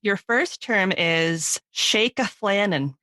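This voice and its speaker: A woman's voice: mid-range voice, takes it slowly, pushed and bright, no room sound, studio quality. A woman hosting a podcast in a casual, giggly, chatty way. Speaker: podcast host. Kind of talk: casual chat.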